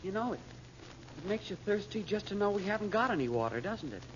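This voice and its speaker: An actor playing a plucky, wisecracking youth in an old radio adventure serial, with a wry, folksy, eager way of talking. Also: male voice, high voice, sing-song, quick pace. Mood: blunt, dry. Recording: muffled, noisy old radio recording.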